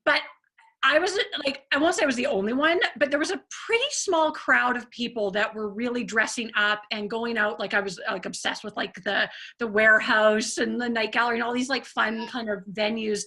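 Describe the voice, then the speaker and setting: Bright-voiced chatty woman host. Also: a little high, fairly quick. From Canada. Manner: chatty, lots of "like" and "you know". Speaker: interview host. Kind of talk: casual interview chat.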